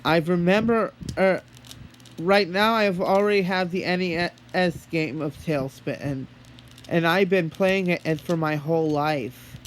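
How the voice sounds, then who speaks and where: A man talking in an earnest, halting way, as if holding a one-sided phone conversation. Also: high-pitched, slight background noise, poor audio. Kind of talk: one-sided pretend phone call, rambling monologue.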